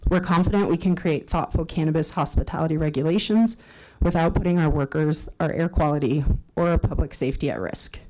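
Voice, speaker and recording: A woman's voice: low voice, fairly quick, dark tone, slight background noise, poor audio. Composed woman reading formal remarks. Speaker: public commenter. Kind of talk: reading a prepared public comment.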